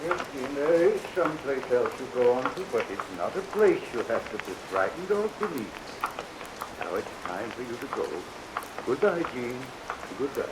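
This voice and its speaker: An actor playing a gentle, eerie old morgue attendant who talks with the dead, earnest and folksy, in a vintage radio drama. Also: male voice, mid-range voice, moderate pace, natural voice. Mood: tender. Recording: vintage radio recording with rain hiss. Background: steady rain.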